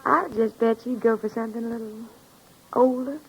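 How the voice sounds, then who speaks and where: Breathy, flirtatious radio receptionist. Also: female voice, a little high, steady tone, normal speed, dull audio. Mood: coy, flirty. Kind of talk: 1950s radio drama acting.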